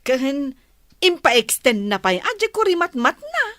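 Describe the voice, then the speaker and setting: A woman's voice: high-pitched, big pitch swings, measured pace, a bit bright, no room sound, heavily acted. A woman with an Ilocano accent playing a gossipy village daughter in a radio comedy, explaining things with drawling emphasis.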